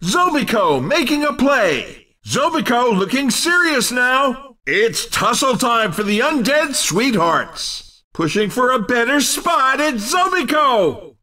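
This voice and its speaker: A man voicing an excitable, cheeky racing commentator in a video game, with punchy, exclamatory, theatrical delivery. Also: extremely high pitch, distant mic, full character voice. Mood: excited, urgent, then excited, hyped. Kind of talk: excited sports-style race commentary.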